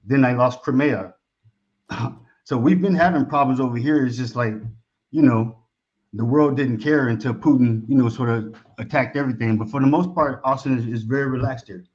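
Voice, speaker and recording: American man, Texan origin, caller, casual slangy talk, talkative, mid-pitched voice, muffled lo-fi call audio. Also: moderate pace, a little mellow. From Texas, United States. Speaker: caller, expatriate guest. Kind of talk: casual conversation.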